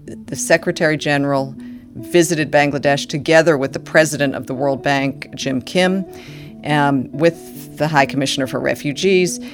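A woman's voice: deep voice, normal speed, music in the background. A woman, a refugee agency official, explaining policy thoughtfully with frequent fillers. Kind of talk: interview explanation.

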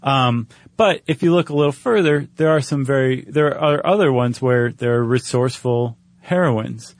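A man's voice: mid-range voice, normal speed, no echo. An American man co-hosting a podcast, explaining things in an informal, conversational way. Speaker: podcast co-host.

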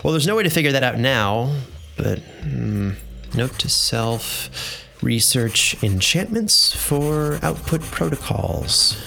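Creaky, pensive fantasy researcher. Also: male voice, mid-range voice, lively intonation, takes it slowly, bright, forceful tone, a little hiss or hum, exaggerated voice. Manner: pensive, hesitant, muttering to himself. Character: musing male fantasy researcher recording notes to himself. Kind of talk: audio drama monologue.

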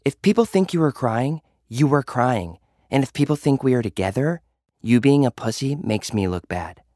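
A man's voice: medium pitch, expressive, moderate pace, dark tone, no room sound, studio quality, pitched above the performer's own voice, natural voice. A man voicing a hard, foul-mouthed young woman influencer in an audio drama, curt and scolding. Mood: scornful, impatient.

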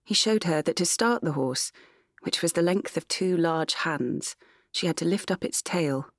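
A woman's voice: fairly low pitch, expressive, unhurried, dry recording, studio quality. A woman with an English accent reading literary fiction as an audiobook narrator, in a lilting, musical voice.

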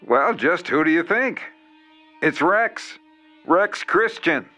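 A man's voice: fairly high pitch, animated, takes it slowly, sharp tone, faint music behind, exaggerated voice. A man playing a self-assured horror author in an audio drama, chummy and breezy with a faintly sinister, controlling edge. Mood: breezy, teasing.

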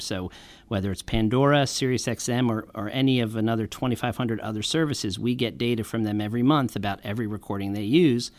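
A man's voice: mid-range voice, no echo. A man from a music royalty organization, explaining earnestly in a podcast panel interview, with frequent restarts.

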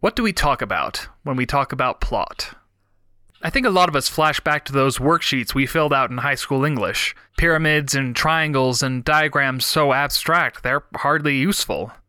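Young male podcast host, stuffy with a cold, bright dry voice, measured and reflective. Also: mid-range voice, dry recording. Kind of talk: reading a prepared talk on writing craft.

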